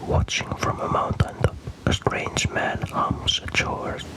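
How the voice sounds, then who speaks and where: Softly whispering male ASMR storyteller. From Finland. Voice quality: soft, unvoiced, breathy whisper. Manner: slow, deliberate storytelling. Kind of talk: whispered ASMR scripted narration.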